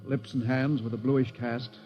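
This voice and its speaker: An actor playing a middle-aged, skeptical ship's doctor in a 1950s radio drama, dry, articulate and probing. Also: male voice, mid-range voice, level tone, measured pace, natural voice. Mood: clinical. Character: skeptical, wry male ship's doctor, worried and frank. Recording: muffled vintage radio recording, music behind.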